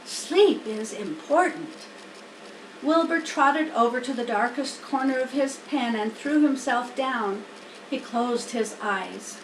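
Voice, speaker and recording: Woman storybook reader, high voice, slow pace, children's narration, roomy recording, distant mic. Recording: roomy, distant mic, faint background noise.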